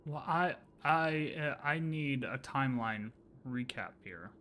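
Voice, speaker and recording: A man giving casual live reactions to a video game as a streamer, with wry, halting asides. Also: mid-range voice, animated, fairly bright tone, a little hiss or hum. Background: game music.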